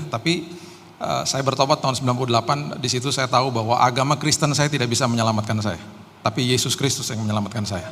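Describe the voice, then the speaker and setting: Middle-aged male pastor, relaxed joking preacher who hesitates often; recorded in a reverberant church hall with faint background hum. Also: medium pitch. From Indonesia. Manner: casual, joking preacher with many filler "eh"s. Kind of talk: sermon with personal testimony.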